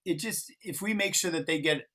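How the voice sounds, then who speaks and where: A man's voice: fairly high pitch, normal speed, bright, forceful tone. A man co-hosting a session, chatting casually and thinking aloud in loose, easygoing phrases. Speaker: workshop co-host and facilitator. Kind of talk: casual chat, planning. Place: online video call.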